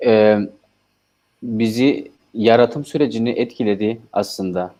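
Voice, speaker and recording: A man with a low, mellow voice answers interview questions as a filmmaker. He talks calmly and reflectively, filling pauses with short hesitation sounds. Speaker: guest, filmmaker.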